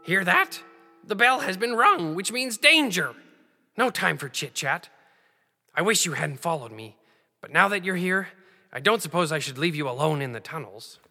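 A man's voice: high-pitched, lively intonation, normal speed, pushed and bright, big-room sound, soft background music, full character voice. A man playing a fussy, anxious talking rabbit in a children's audio drama, grumbling and scolding in quaint old-fashioned phrases. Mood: urgent, bossy.

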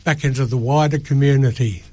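A man's voice: medium pitch, fairly even intonation, fairly quick, mellow, dry recording. An older man giving a prepared statement as a radio studio guest, formal and careful in his wording. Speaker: choir member, interview guest. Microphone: studio microphone.

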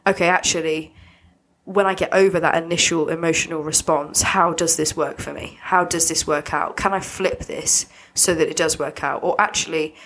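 A woman co-hosting a podcast, a little sniffly and husky from a cold, explaining her thoughts at length. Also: low-pitched, steady tone, normal speed, a bit bright, a little hiss or hum, low-quality recording. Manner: reflective, talks in long loose explanations. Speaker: podcast co-host. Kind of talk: casual podcast chat.

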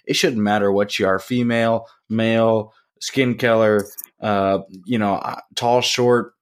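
A man hosting a podcast interview, with a loud, deep, growly voice and a casual, rambling way of talking. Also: steady tone, a little slow. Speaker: podcast host. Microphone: studio podcast mic.

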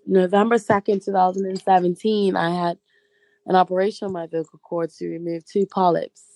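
A young woman with a slightly hoarse, gravelly voice and a lowish pitch, talking casually as an interview guest. Her speech is relaxed and chatty, with pauses and fillers. The sound is close and dry. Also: dry recording, poor audio. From Bermuda. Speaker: guest, stage actress.

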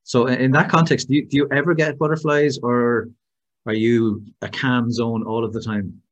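A man's voice: mid-range voice, fairly even intonation, moderate pace, highs cut off. A man with a Waterford Irish accent, an easygoing podcast host who tells anecdotes and asks questions. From Ireland, Waterford. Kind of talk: podcast interview.

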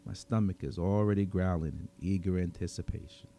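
A man narrating a dramatic story in the first person as a cynical, wry, menacing vigilante vampire, with sardonic, slangy delivery and dramatic pauses. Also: low-pitched, steady tone, dark tone, slight background noise. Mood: weary, anticipating.

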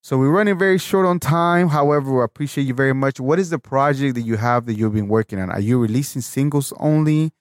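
A man's voice: mid-range voice, fast, few pauses, no room sound, studio quality. A male podcast host who asks long winding questions and sums up the guest's answers back to him. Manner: rambling, restates the guest's answers, lifts his voice when asking. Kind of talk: interview questions.

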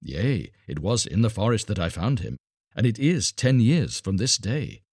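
Storybook woodcutter, played by a man: upright working man, sincere, old-fashioned wording, lively intonation. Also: on the low side, moderate pace, slightly rough, no room sound, clean studio sound. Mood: earnest. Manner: earnest, archaic speech. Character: plain, upright woodcutter, kindly but reproving man. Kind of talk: dramatised storybook reading.

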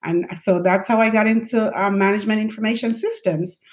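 A woman's voice: mid-range voice, a little fast. Chatty woman telling her story. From Jamaica. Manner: animated, chatty, laughs often, many fillers. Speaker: guest, chief information officer. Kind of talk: conversational interview storytelling. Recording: slightly dull lo-fi audio.

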